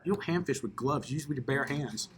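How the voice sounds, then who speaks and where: A man's voice: medium pitch, expressive, quick pace, husky. A man co-hosting a podcast in casual comedic chat, joking and boastful.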